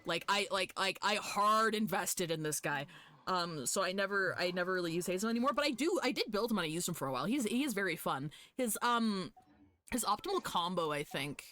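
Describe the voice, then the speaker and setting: A woman streaming a game live, chatting casually with viewers in an animated, sweary, fan-like way. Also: mid-range voice, fairly quick. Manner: foul-mouthed. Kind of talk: casual chat with viewers while gaming.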